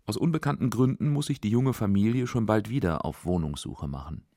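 A man's voice: on the low side, animated, a little fast, warm tone, no room sound, studio quality. A man narrating a scripted radio feature as its documentary narrator.

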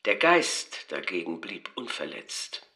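A man reads a literary narrative in with measured, deliberate phrasing. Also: low-pitched, sing-song, bright, forceful tone, breathy edge. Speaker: narrator, reader of a literary text.

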